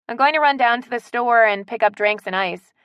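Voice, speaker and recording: A woman's voice: a little high, fairly quick, smooth, dry recording, muffled recording, pitched above the performer's own voice, close to an everyday voice. A woman narrator voicing a polite, good-natured woman in an audiobook story. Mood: friendly.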